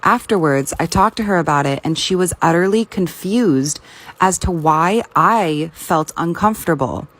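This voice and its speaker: Female story narrator, slightly low voice, reading scripted first-person confessional posts. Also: lively intonation, normal speed, fairly bright tone.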